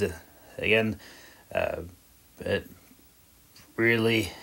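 Slow-paced man, audiobook creator. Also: fairly low pitch, creaky. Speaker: audiobook narrator and author-creator. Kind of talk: scripted story narration, then casual thanks to listeners.

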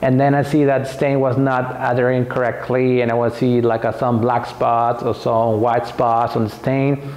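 A man, talking casually to camera in his home workshop and recommending tools, halting and repeating words with many fillers. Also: mid-range voice, level tone, darkish tone. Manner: gestures with hands while talking. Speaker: woodworking YouTuber. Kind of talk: casual tool recommendation vlog. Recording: echoey workshop room.

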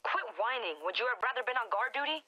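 A woman's voice: on the high side, lively intonation, fast, pushed and bright. An actress playing a blunt, defiant young woman guiding soldiers in a video game, out for revenge. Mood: dry, impatient. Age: young adult. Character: tough defiant young woman, vengeful guerrilla guide. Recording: noisy game effects behind.

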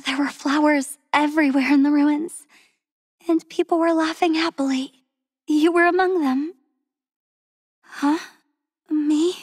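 Soft, tender game heroine, young and devoted, high-pitched, slow and breathy, dry studio sound. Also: female voice, fairly even intonation, no echo. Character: gentle devoted young woman healer, soft-spoken and tender. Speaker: voice actress for a game character. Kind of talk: game character voice lines.

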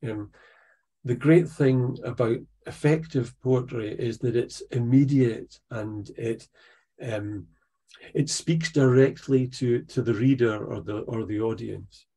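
An older man with a Dundee Scots accent speaks in a gravelly, slightly husky voice. He teaches a writing workshop over a video call, thoughtful and unhurried, pausing and restarting as he searches for words. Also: medium pitch, dark tone. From Scotland. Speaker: poet leading a writing workshop. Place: attic room at home. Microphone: video call, laptop camera.

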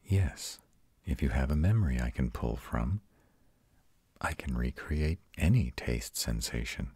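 A man performs a proud male vampire comforting his lover in an audio roleplay. His voice is extremely low and husky, delivered very slowly, with tender, intimate reassurance. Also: lively intonation, darkish tone, no room sound, heavily acted. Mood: soothing, patient. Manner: slow, soothing, intimate.

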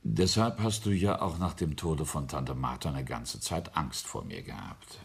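A very deep, gravelly ruthless patriarch. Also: male voice, expressive, slight background noise, exaggerated voice. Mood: probing. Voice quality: gravelly, husky. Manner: deliberate, imperious, cutting. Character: ruthless, boastful patriarch and self-made industrialist, coldly confessing. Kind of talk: German radio play acting.

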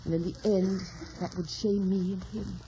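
An actress playing a willful, passionate young gentlewoman in a theatrical, ardent radio drama style. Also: mid-range voice, steady tone, normal speed, lightly acted. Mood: fervent, torn. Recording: dull, noisy old radio broadcast.

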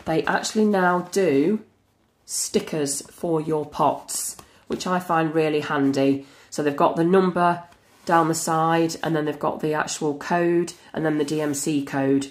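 A woman with a croaky voice from a cold, chatting eagerly through an unboxing. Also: low-pitched, fairly even intonation, unhurried. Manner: chatty and enthusiastic, frequent fillers. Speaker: crafting channel host. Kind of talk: casual unboxing chat. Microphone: camera over a tabletop.

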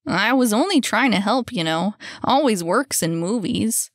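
A woman's voice: mid-range voice, lively intonation, measured pace, no echo, studio quality. A woman voicing a cocky, mischievous young boy in a storybook, with smug, slangy, laid-back wisecracks. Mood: cocky, defensive.

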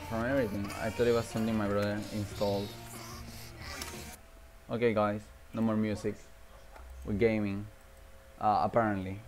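A man hosting a livestream, chatting casually and thinking aloud in a rambling way. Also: medium pitch. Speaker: livestream host. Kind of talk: casual game-stream chatter.

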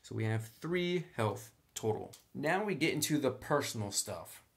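A man's voice: mid-range voice, animated, normal speed, creaky. A man hosting a tabletop game tutorial, explaining things step by step in a casual, conversational way. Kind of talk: casual step-by-step tutorial, partly reading rules aloud.

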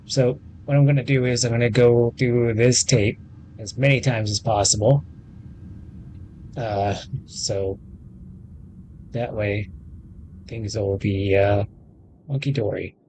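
A man's voice: medium pitch, steady tone, slow pace, pauses often, warm tone, slight background noise. A man in his thirties giving casual, offhand and rambling commentary as he plays a video game. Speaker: gaming video host. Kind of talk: casual let's play commentary. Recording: faint game music behind. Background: video game audio.

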